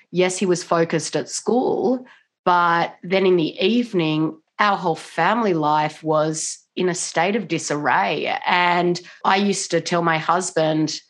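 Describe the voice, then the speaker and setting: Australian mum in her middle years, a touch low-voiced, explaining things earnestly in a casual interview. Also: unhurried. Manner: earnest, animated, many "you know" fillers. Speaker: guest, mother and nutrition coach. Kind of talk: interview conversation.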